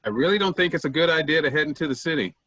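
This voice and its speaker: A cautious, reasonable troupe member played by a man, husky and fairly high for a male voice. The delivery is that of a staged play reading. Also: expressive, racing, pushed and bright.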